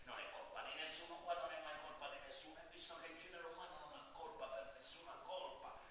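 An actor playing a bitter, sarcastic vigilante convict who pleads with a judge in a stage monologue, recorded in an echoey hall. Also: male voice, fairly high pitch, steady tone, fast talker, sharp tone. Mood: bitter, brooding. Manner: sarcastic, ranting, addresses the judge repeatedly. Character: bitter vigilante convict, killer of mafiosi, pleading his case. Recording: echoey hall, distant mic, noisy.

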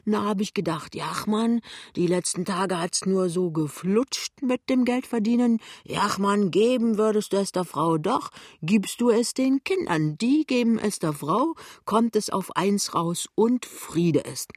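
A woman's voice: medium pitch, expressive, unhurried, darkish tone, no echo, pitched above the performer's own voice. A jovial, tipsy man of middle age played by a woman reader in a literary audio drama. He rambles along breezily and good-naturedly, a bit raised above the narration, in a dry studio sound. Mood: rambling conciliatory.

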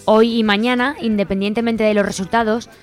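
A woman's voice: a little high, fairly even intonation, a little fast. A woman with an accent from Spain reading a scripted, manifesto-like introduction to a radio show. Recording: music behind the voice. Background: music.

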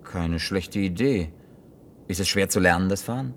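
An actor playing an awkward, grumbling drifter in a German science-fiction radio play, speaking colloquially, hesitant and gruffly excitable. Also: male voice, low-pitched, normal speed, far from the mic, a little hiss or hum. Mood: wary, puzzled. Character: awkward, grumbling male drifter, last man on earth.

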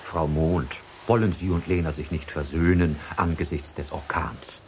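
An actor in a German radio drama playing a melancholy, courteous sea captain with a formal way of speaking. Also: male voice, very deep voice, steady tone, normal speed, a little hiss or hum. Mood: gently admonishing.

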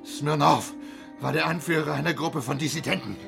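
A man's voice: fairly high pitch, normal speed, creaky, over music. A man playing a hard, defiant Soviet secret-service officer under threat in a radio drama, answering in clipped, grudging phrases. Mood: strained, angry.

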